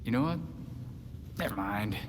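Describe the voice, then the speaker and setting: A man's voice: lowish voice, normal speed, close to an everyday voice. A man playing an earnest, kindly young vigilante in a video game, speaking sincerely and openly. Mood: nostalgic, deflated. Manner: earnest, animated, apologetic. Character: earnest, kindly young male vigilante, eldest brother type. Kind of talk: video game cutscene acting. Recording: long reverb, clear background noise.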